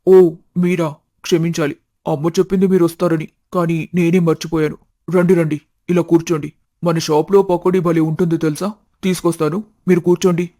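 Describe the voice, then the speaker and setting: A man plays a good-hearted young shopkeeper in a storybook family drama. His voice is on the high side and mellow, with flat intonation, and he talks in a chatty, polite, matter-of-fact way. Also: a little fast, dry recording, studio quality, close to an everyday voice. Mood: welcoming. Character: talkative, good-hearted young snack-shop owner seeking marriage.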